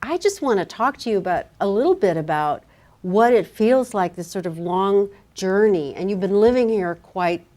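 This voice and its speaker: A woman's voice: medium pitch, expressive, normal speed, dark tone. A woman moderating a live literary discussion, asking musing, roundabout questions in a conversational way. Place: live public literary event.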